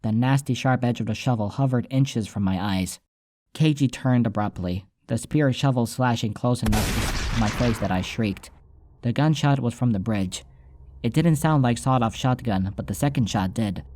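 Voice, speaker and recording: A man's voice: medium pitch, moderate pace, a little mellow. A man reading a scripted first-person story as an audiobook narrator, with soundtrack music behind him.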